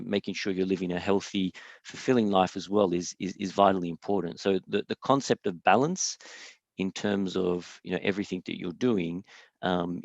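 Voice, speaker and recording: A young Australian man speaks as a guest lecturer on a video call, his voice deep and low. He hesitates constantly, repeating words and padding with fillers. The sound is dry and slightly dull, like call audio. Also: no echo. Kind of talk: online lecture.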